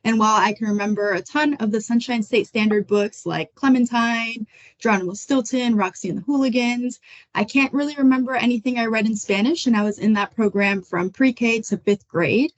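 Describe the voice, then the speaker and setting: A young adult woman from Florida giving a measured, prepared book talk over a video call. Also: fairly high pitch. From United States (Florida). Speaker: book selection editor. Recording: muffled dull audio.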